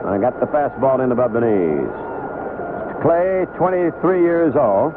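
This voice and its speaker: A man announcing baseball play-by-play on the radio in a conversational way, with clipped phrases between pauses. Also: mid-range voice, expressive. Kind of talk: live play-by-play commentary. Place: broadcast booth at a baseball stadium. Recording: noisy lo-fi 1970s AM radio broadcast.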